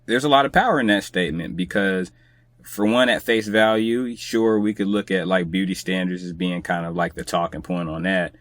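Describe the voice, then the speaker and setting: A man's voice: sits low, moderate pace, fairly bright tone, no echo. A man speaking as a podcast guest in casual, reflective conversation, with a laid-back, colloquial, musing manner.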